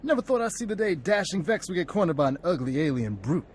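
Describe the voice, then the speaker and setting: A man's voice: fairly high pitch, very animated, quick pace, breathy edge. A man playing a showy, boastful gunslinger outlaw, talking in a theatrical, mocking way in video game dialogue. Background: faint game music.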